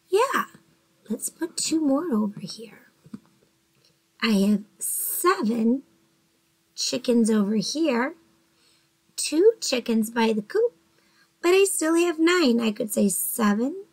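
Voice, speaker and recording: Woman, forties, fairly high voice, gentle, encouraging, early-grades teacher, home bedroom, webcam. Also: rough recording. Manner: gentle, encouraging, asks children questions. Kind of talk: early-grades math lesson to children.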